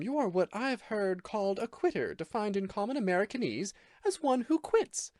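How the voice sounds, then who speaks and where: A man's voice: high-pitched, darkish tone, dry recording, clean studio sound, voice pushed up. A man voicing a cool, scornful young woman who speaks with mocking disdain.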